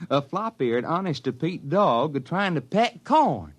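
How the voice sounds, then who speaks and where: A man's voice: on the high side, very animated, unhurried. An actor playing a folksy, bumbling army enlisted man with a drawl in an old radio drama. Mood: amazed. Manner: drawling, ungrammatical, bumbling deference to officers. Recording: vintage radio drama recording.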